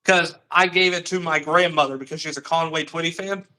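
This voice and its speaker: A young man talks as a guest on a casual livestream and tells his stories quickly and earnestly. His voice is high and bright. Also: fairly even intonation, low-quality recording. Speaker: guest musician.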